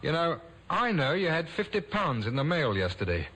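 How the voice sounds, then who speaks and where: A man's voice: fairly high pitch, sing-song, normal speed, a bit bright, full character voice, poor audio. An actor playing a young handyman who turns into a threatening thief in a radio drama. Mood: menacing. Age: young adult. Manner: smooth, then threatening. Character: young con-man handyman turned menacing thief. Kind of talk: 1970s radio drama acting.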